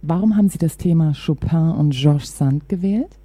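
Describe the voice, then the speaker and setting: A woman's voice: sits low, moderate pace, mellow, no echo. A woman interviewing on radio, reading prepared introductions and questions.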